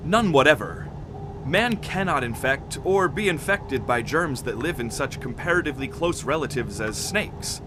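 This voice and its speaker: An actor playing a pedantic, certain scientist in an audio drama, deliberate and dismissive of danger. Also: male voice, medium pitch, lively intonation, noisy background, natural voice.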